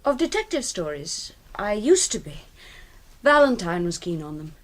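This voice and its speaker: A woman's voice: mid-range voice, sing-song, normal speed, close to an everyday voice. An actress playing a wary, shaken but defiant young Englishwoman in a radio drama, blunt and guarded. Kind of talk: British radio drama acting.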